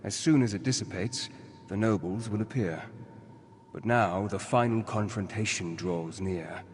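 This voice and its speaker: An actor playing a solemn, regretful leader in a video game, speaking formally and measuredly. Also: male voice, fairly low pitch, a little slow. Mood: solemn, resolute. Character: grave, regretful male mentor and leader guiding a campaign. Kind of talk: scripted video game dialogue. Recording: long hall-like reverb on the voice.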